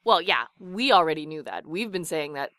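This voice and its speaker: A woman's voice: low voice, expressive, fairly bright tone, no echo, clean studio sound. Chatty woman trading quips. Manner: chatty, quick quips and laughter. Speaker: podcast co-host. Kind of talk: casual book discussion podcast.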